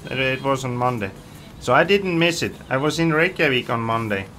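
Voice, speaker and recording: A man's voice: mid-range voice, expressive, a little fast, sharp tone, slight background noise. Chatty man streaming a game. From Iceland. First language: Icelandic. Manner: chatty, reads viewer comments aloud, hesitant fillers. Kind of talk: casual live-stream chat.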